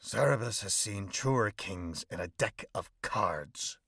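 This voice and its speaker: A grumpy, deadpan warrior, put on by a performer, muttering low and quiet with sardonic dryness. His voice sits far below the performer's usual and is close and dry, with bright edges. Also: slow, husky, dry recording, exaggerated voice. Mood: grumbling. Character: gruff male warrior, grumpy, speaks of himself in third person.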